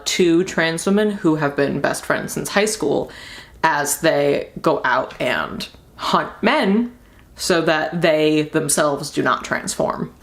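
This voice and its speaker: A young woman with a somewhat low-set voice talks through a review in a loose, rambling vlog style. She is candid and informal, often repeating words for emphasis. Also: fairly bright tone. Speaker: book review vlogger. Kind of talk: casual rambling book review to camera.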